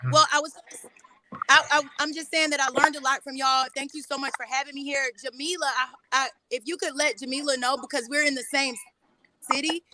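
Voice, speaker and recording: A woman's voice: high-pitched, steady tone, a little fast, bright, forceful tone, slight background noise, rough recording. A young woman from Atlanta, earnest and gushing, thanking and chatting as a guest on a live stream. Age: young adult. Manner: earnest and gushing, laughs easily. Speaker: guest artist. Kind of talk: casual live-stream chat.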